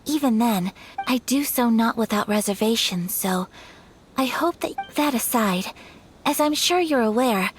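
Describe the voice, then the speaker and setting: A woman's voice: fairly high pitch, animated, measured pace, soft background music. A woman playing a refined, poised young noblewoman in an anime-style game, speaking formally and courteously. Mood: firm, assured.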